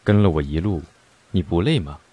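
A man plays a mysterious young swordsman with a very deep, husky voice that swings widely in pitch. He stays cool and teasing, never rushed, in an audio drama. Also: lively intonation, warm tone, no room sound. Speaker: voice actor. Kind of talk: Chinese audio drama acting.

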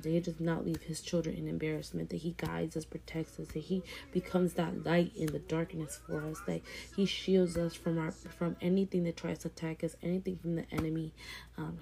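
Low, gravelly young woman talking earnestly. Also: mellow. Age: 20s. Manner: earnest and encouraging, repeats phrases for emphasis. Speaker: vlogger. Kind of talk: casual motivational talk to camera.

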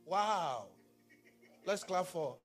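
A man's voice: high voice, very slow, music in the background. A man from Ghana with a Ghanaian accent, preaching a sermon and talking back and forth with his congregation. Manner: animated, talks with the congregation. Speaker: pastor.

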